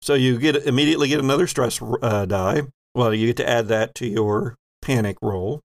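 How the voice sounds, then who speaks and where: A man's voice: medium pitch, fairly quick, dry recording, studio quality. A man acting as game master of a tabletop RPG, narrating and ruling in a playful, teasing way.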